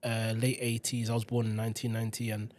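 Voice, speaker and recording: A man in his thirties from South London with a London accent, a teacher telling his own school story as a podcast guest in quick, animated, slangy chat. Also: on the low side, no echo. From South London, England. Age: 30s.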